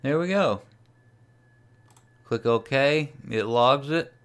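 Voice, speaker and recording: A man's voice: medium pitch, lively intonation, drawn-out words, a bit bright, smooth. A man giving a casual, unscripted screen-share tutorial as a radio hobbyist, thinking aloud as he operates. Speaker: amateur radio hobbyist demonstrating software.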